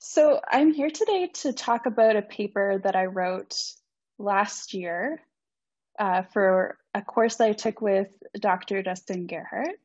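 A woman's voice: mid-range voice, a little slow, darkish tone, husky, dry recording. A young woman in her twenties giving an academic conference talk over a video call, polite and earnest with frequent ums. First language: not Icelandic. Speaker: student presenter. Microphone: computer mic on video call. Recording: dry, slightly dull video-call audio.

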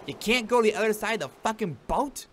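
An actor playing a brash, foul-mouthed, sardonic demon gangster in a comic dub. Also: male voice, sits high, animated, quick pace, fairly bright tone, a little hiss or hum. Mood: sneering, annoyed. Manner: sneering, slangy, swaggering. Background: music.

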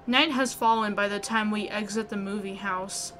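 A woman reading scripted story narration aloud in an expressive way. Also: mid-range voice, normal speed. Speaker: video game player reading narration aloud. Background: music.

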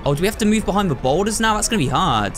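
A man's voice: sits high, big pitch swings, very fast, over loud music. A man hosting a video game playthrough, thinking aloud and talking to viewers in a running commentary. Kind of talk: excitable gameplay commentary.

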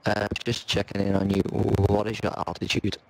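A man's voice: lowish voice, monotone, a little fast. A man making improvised air traffic controller calls in game voice chat, hesitant and subdued. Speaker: fellow player acting as air traffic controller. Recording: game voice chat with faint noise.

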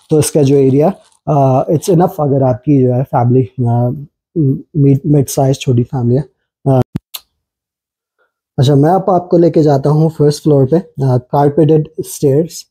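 Casual vlogger man, thirties, mellow slightly breathy voice, unhurried house-tour patter. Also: medium pitch, fairly even intonation, poor audio. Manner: hesitant, many drawn-out fillers, mixes English words. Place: indoor townhouse rooms and garage.

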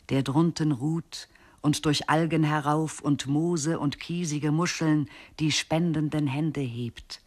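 A man reciting verse in a lyrical, declamatory manner. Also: a little high. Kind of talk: poetry recitation.

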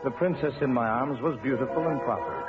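A man's voice: mid-range voice, over loud music, natural voice. An actor playing a young lovesick king, formal and romantic, in 1950s radio operetta style. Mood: wistful. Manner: formal, romantic, measured storytelling. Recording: muffled old radio broadcast, loud orchestra behind.

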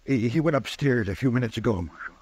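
Man acting jittery hotel clerk, stammering, hesitant, mid-pitched male, old western radio drama. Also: fast talker, darkish tone, slightly rough, lightly acted. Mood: nervous.

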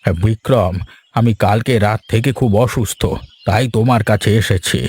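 A man's voice: fairly low pitch, normal speed, darkish tone, breathy edge. A man voicing a frightened, earnest elderly villager in a cartoon, telling things in a plaintive, insistent way. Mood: shaken, plaintive. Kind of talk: Bengali cartoon voice acting. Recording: background music bed.

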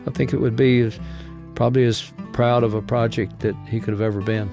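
A man's voice: medium pitch, fairly even intonation, a little fast, gravelly. A man, talking reflectively as an interview guest. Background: soft guitar music.

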